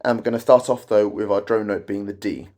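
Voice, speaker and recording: A man teaching a guitar arrangement in a casual, wry, self-deprecating way, his voice croaky from an oncoming cold. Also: on the low side, fairly quick, a little mellow. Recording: guitar playing behind the voice.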